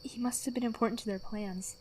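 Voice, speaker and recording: A woman playing a blunt, squeamish mercenary, expressive and exasperated, in an audio drama. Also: a little high, fast, a little hiss or hum, lightly acted. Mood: disgusted, uneasy.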